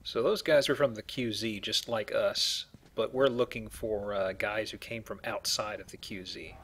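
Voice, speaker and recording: A man's voice: fairly low pitch, fairly even intonation, moderate pace, fairly bright tone, breathy edge. A man giving casual, wry commentary as he plays a video game. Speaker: gamer hosting a let's play. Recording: faint noise behind voice. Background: game ambience.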